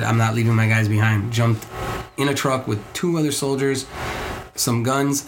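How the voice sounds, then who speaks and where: A man's voice: a bit bright, a little hiss or hum. A man with a Puerto Rican accent, a podcast guest telling a story casually, with many restarts and voiced quotes of others. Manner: rambling with frequent restarts, voices others in quotes with higher pitch.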